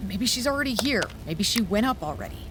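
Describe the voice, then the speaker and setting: A woman's voice: on the high side, expressive, quick pace, noisy background, natural voice. An actress playing an obsessive, analytical woman scientist in an audio drama, precise and prone to swearing. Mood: shaken, practical.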